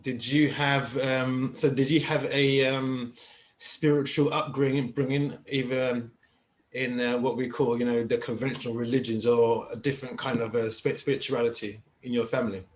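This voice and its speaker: A man hosting a spiritual conversation show, asking questions haltingly with many fillers and restarts. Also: medium pitch, level tone. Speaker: podcast host. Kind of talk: interview hosting.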